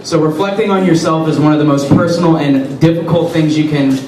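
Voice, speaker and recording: A man's voice: a little high, fairly quick. A man hosting a live reading night, joking loosely with the audience before introducing the next reader. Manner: playful, loose, excitable. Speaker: event host. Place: large room with an audience. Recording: echoey hall, far from the mic, crowd noise.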